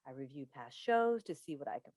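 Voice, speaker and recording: A woman playing a defensive daytime talk-show host and mother in a staged play reading, with a halting, earnest delivery. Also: a bass voice, big pitch swings, darkish tone, dry recording, exaggerated voice. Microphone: video call microphone.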